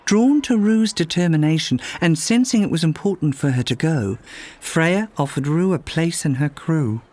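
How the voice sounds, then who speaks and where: A man reading a children's fairytale aloud as a storyteller, in expressive scripted narration. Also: a little high, moderate pace. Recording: music behind the voice. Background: music.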